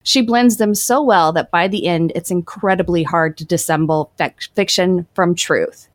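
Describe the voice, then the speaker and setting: A woman's voice: fairly low pitch, normal speed, dry recording. A woman co-hosting a casual podcast, reading article passages aloud and joking about her own pronunciation. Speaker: podcast co-host.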